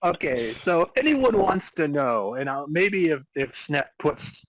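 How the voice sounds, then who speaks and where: A man narrating a comic dramatic reading of fan fiction as a podcast host, halting and amused over broken sentences. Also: on the high side, sing-song, a bit bright, dry recording, poor audio.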